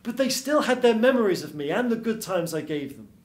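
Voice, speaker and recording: A man's voice: sits high, sing-song, brisk, voice pushed up. A man voicing a bitter, washed-up old mouse film star, world-weary and hard-boiled in noir style. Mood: sad, pleading. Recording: roomy stage sound.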